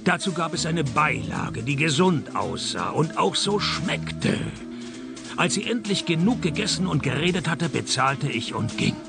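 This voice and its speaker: World-weary private eye, creaky voice, slightly high, laconic, deadpan, radio play narration. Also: male voice, unhurried, music in the background, exaggerated voice. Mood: weary, wry narrating. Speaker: lead actor and narrator. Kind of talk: hardboiled parody radio narration.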